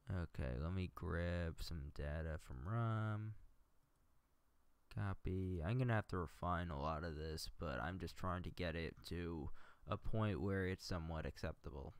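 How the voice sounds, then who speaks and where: Very deep, gravelly-voiced man livestreaming. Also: a little slow, no room sound. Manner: muttering to himself, thinking aloud. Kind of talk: casual livestream chat while working.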